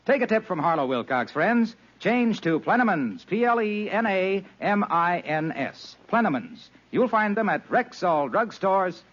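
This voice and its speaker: A man's voice: high voice, lively intonation, measured pace, few pauses, a bit bright. A male radio announcer reading ads in polished mid-century sales patter. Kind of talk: 1940s-50s radio ad read. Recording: muffled old radio broadcast.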